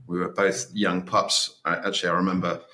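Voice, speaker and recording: A man's voice: low voice, flat delivery, a little fast, pushed and bright. A man in his forties, a pilot hosting a casual interview, talking in a friendly, rambling way. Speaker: podcast host, pilot.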